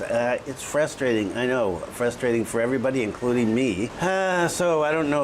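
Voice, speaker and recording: A man's voice: medium pitch, slight background noise, low-quality recording. A man hosting a casual news update video, reading figures and articles aloud and adding his own chatty asides. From lives in Bali. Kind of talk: casual spoken news roundup.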